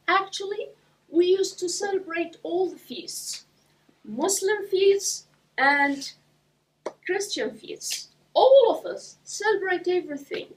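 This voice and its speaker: Woman from iraq giving a club speech, slow and deliberate, mic kinda far off. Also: very high-pitched. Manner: slow and deliberate with pauses between phrases. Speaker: club speaker. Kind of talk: prepared speech to an audience. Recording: voice from a distance.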